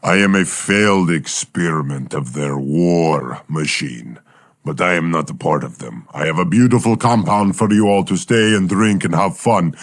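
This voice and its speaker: Igor, a deep talking bear. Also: male voice, normal speed, no room sound, clean studio sound. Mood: gruff boastful. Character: gruff male Russian talking bear, ex-war project. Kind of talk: improvised comedy sketch.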